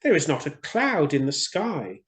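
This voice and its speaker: A man's voice: on the high side, sing-song, a little slow, pitched above the performer's own voice. A man voicing a small, vain, self-important swallow in a storybook, with a fussy, exclamatory way of talking. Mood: grumbling.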